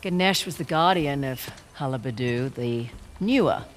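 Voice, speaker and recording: A woman's voice: extremely low pitch, animated, moderate pace. A woman playing a confident adult treasure hunter and thief in a video game, with dry, breezy, teasing banter. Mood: knowing, relaxed. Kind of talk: naturalistic video game acting. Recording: game ambience and vehicle noise behind.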